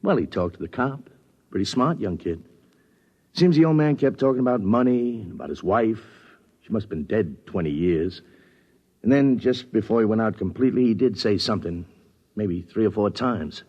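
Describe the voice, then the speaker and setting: An easygoing police sergeant in a vintage radio play. He speaks low and plainly, in an unhurried colloquial way. The sound is slightly muffled with age. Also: animated, husky. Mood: matter-of-fact. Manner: matter-of-fact, unhurried, colloquial. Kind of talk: 1950s radio drama acting.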